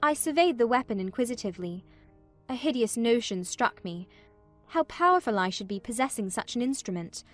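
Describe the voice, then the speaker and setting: A woman voicing a despairing, proud and weary young gentlewoman who tells her story expressively in a dramatized novel reading. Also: on the high side, animated, fairly quick, soft background music, natural voice. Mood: intrigued. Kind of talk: dramatized audiobook narration of a classic novel, in first person.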